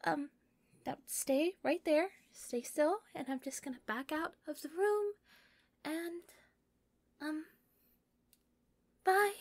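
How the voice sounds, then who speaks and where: A woman playing a shy, doting, possessive yandere captor in an intimate roleplay audio, softly and breathily. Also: sits high, takes it slowly, long pauses, no echo. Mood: shy, flustered. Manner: soft, breathy, often whispering, giggly, stammering when flustered.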